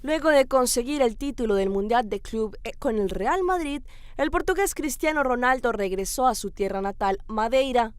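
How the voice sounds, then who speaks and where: A woman's voice: fairly high pitch, smooth, no room sound. A woman presenting scripted television news as host and reporter.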